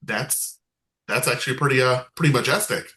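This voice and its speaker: Bright-voiced chatty male host. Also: medium pitch, a little fast. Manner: chatty, upbeat, self-deprecating. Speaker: game show host. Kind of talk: casual online game night hosting.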